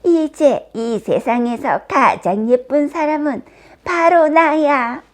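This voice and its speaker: A woman's voice: on the high side, very animated, slow pace, darkish tone. A woman voicing a vain, imperious wicked queen in a children's fairy tale, theatrical and commanding. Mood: gloating.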